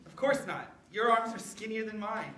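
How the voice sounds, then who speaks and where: Woman playing an eager young boy, bright and echoey. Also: medium pitch, moderate pace, lightly acted. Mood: skeptical. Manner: excitable, childlike. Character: eager, chatty, easily impressed young grandson. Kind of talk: staged play reading. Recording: echoey room, background noise.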